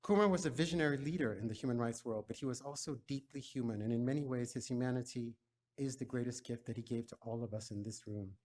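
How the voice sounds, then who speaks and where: A man speaking at a memorial in a measured, solemn way. His voice sits at an ordinary middle pitch. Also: a little mellow. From Ghana. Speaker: eulogy speaker. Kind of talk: memorial eulogy.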